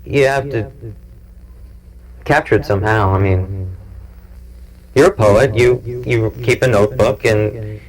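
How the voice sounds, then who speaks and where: A man in his forties hosting a show, talking informally in a musing, halting, rambling way. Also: on the low side, low-quality recording. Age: 40s. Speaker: cable TV show host and producer. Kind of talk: informal interview talk and reminiscence. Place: small equipment-packed studio. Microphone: clip-on mic.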